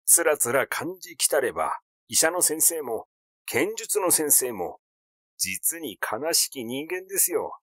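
A man voicing a rash, glib, excitable schemer in an audiobook, talking in a blunt, teasing, effusive way. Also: a little high, measured pace, no room sound, clean studio sound, full character voice. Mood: sly, teasing. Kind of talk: literary audiobook character dialogue.

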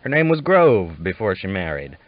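A man's voice: sits low, big pitch swings, normal speed. Man voicing a wry, folksy cowboy, dull recording. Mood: reminiscing. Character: talkative, wry old cowboy, easygoing and teasing. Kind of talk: audiobook character dialogue.

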